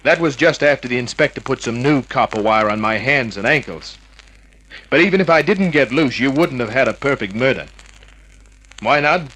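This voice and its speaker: An actor playing a cocky, wisecracking hard-boiled private investigator in an old-time radio drama, flippant, slangy and smug. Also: male voice, mid-range voice, expressive, moderate pace, fairly bright tone, low-quality recording. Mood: wry.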